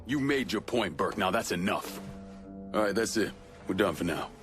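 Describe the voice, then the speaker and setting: An actor playing a hard, vengeful young crime boss with an American accent, blunt and controlled, in a video game drama. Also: male voice, lowish voice, lively intonation, moderate pace, over music, natural voice. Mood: firm, controlled.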